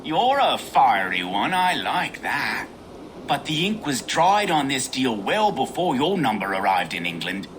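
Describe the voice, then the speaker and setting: An actor playing a sly older bandit gang boss, theatrical and taunting with false geniality, in a video game cutscene. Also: male voice, medium pitch, sing-song, unhurried, a bit bright, slight background noise. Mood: sly bargaining.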